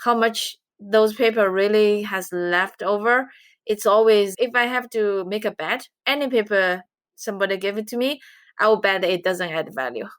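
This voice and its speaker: A woman from China who speaks English, giving measured, earnest answers as an interview guest. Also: medium pitch, a bit bright, no echo. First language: Chinese. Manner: measured, earnest explaining with light laughs. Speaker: guest, investment researcher.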